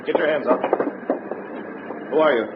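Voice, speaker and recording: An actor playing a wary, commanding armed guard, curt and challenging, in a 1950s radio drama. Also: male voice, on the high side, normal speed, natural voice. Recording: muffled, very noisy old radio recording.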